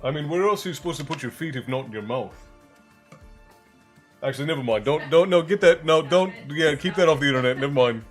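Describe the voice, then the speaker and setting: A man's voice: on the high side, fast. A man hosting a livestream in casual, joking chat, greeting viewers by name, still a bit congested. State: congested, with a bit of a chesty cough. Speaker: livestream host. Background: soft background music.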